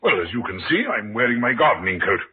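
An actor playing a proud, indignant military colonel in a mid-century radio drama style. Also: male voice, medium pitch, very animated, quick pace, slightly rough, phone-quality sound. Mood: defensive, explaining. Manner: indignant, stiff, blustering. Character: proud military colonel accused of poisoning his wife. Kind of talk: 1940s-50s radio acting. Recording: noisy, band-limited old radio broadcast.

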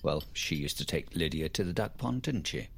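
An actor playing a dry, stubborn middle-aged English father who needles quietly, gravelly and husky, in an audio drama. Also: very deep voice, expressive, normal speed, slight background noise, heavily acted. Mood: stubborn, needling. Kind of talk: naturalistic audio drama acting.

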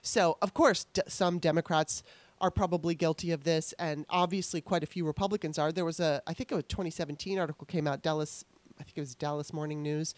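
A blunt, animated woman hosts a loose political chat and swears without a second thought. Her voice is close and dry, with almost no room sound. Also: on the low side, dry recording. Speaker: host. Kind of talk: casual political discussion.

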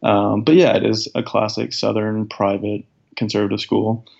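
A man in his twenties, a guest in an interview, speaking in a casual, reflective way with a deep, raspy voice. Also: flat delivery, normal speed, darkish tone. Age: 20s. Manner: reflective, thoughtful, many fillers. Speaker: guest, professional soccer player. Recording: dull lo-fi sound.

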